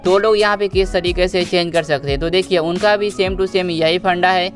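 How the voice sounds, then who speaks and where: A young man speaks in a distinctly high voice. He talks like a gaming channel host walking viewers through a tutorial, casual and repetitive, often calling them brother. Soft music plays underneath. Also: steady tone, moderate pace. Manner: repetitive, addresses listeners as brother. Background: background music.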